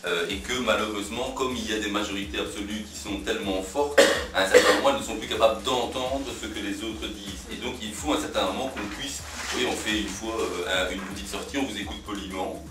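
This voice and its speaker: Male debater, earnest politician, slightly deep, roomy and distant recording. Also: fast, few pauses, over loud music. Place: cafeteria. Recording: roomy, distant mic, background noise.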